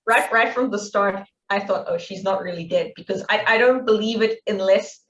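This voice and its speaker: A woman's voice: mid-range voice, moderate pace, a bit bright. A woman co-hosting a podcast, chatting casually in a conversational way. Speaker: podcast co-host. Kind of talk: casual chat. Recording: muffled, echoey, distant from mic.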